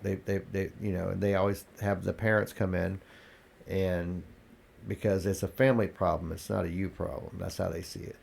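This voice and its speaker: A congested man with a gravelly voice, talking candidly as a podcast guest, restarting his sentences as he tells his story. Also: sits low, flat delivery, normal speed, a little mellow, slight background noise. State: congested, coughing. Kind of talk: casual interview talk.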